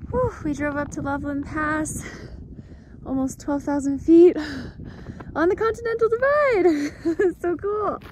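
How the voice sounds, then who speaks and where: A woman's voice: sits high, a little slow, a little mellow. A woman in her twenties casually narrating a travel vlog on a phone, effusive and full of wonder. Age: 20s. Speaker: hiking vlogger. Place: outdoors on trails and in a car. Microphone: handheld phone at arm's length. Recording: noisy outdoor ambience and wind.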